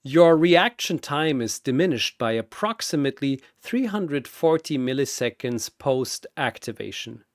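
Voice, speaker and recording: A man's voice: mid-range voice, lively intonation, a little slow, few pauses, no echo, clean studio sound. A man playing a coldly fascinated mad scientist in a story, precise, smug and analytical. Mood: smug, clinical.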